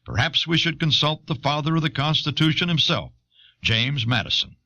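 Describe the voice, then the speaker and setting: Guy narrating a history audio doc, bright voice, explains stuff carefully, muffled old tape. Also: a little high, animated. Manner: measured, expository. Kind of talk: scripted documentary narration. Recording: dull, lo-fi old cassette.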